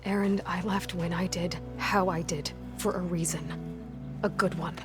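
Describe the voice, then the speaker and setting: A woman's voice: lowish voice, fairly even intonation, moderate pace, music in the background, close to an everyday voice. A woman playing a determined, guarded young hero in a video game, speaking in an earnest, terse, matter-of-fact way. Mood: grave, urgent. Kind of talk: video game cutscene acting.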